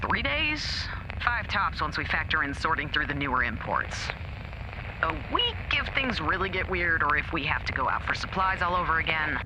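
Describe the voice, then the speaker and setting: A man acting in an audio drama as a practical technical team leader, brisk and matter-of-fact over a radio. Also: on the high side, sing-song, noisy background.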